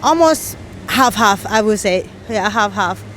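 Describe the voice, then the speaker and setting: A woman's voice: medium pitch, animated, unhurried, a bit bright, slight background noise. A woman from Thailand, interviewed on the street, talking casually and searching for words. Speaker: interviewee, expat. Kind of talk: casual answers.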